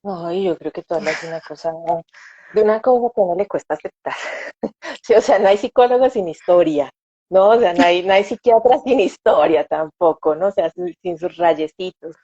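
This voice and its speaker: A woman's voice: on the low side, normal speed, warm tone, slightly rough, no echo. A woman hosting a live-stream interview, talking in a reflective way and often tagging her points with questions. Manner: reflective, repeats with "o sea" and "¿no?". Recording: dry dull lo-fi sound.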